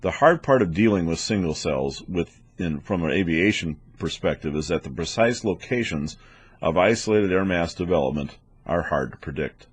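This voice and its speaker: Low, husky male instructional narrator. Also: expressive, moderate pace. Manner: explanatory, methodical. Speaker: weather instructor for air traffic controllers.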